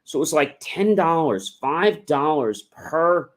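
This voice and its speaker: A man's voice: on the high side, expressive, slow pace. A man hosting a motivational livestream, talking in a casual, rambling, conversational way with emphatic asides. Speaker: livestream host, motivational speaker.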